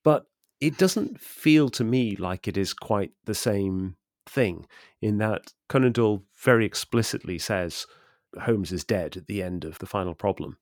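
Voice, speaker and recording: Low-voiced man talking books, close and dry. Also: expressive, measured pace, a little mellow, no echo. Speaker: podcast co-host. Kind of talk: literary discussion.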